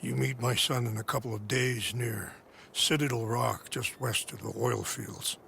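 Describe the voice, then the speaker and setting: Solemn old game leader, heavily creaky, formal and patient. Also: male voice, mid-range voice, steady tone, measured pace, sharp tone, slight background noise, heavily acted. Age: older. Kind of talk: video game cutscene acting.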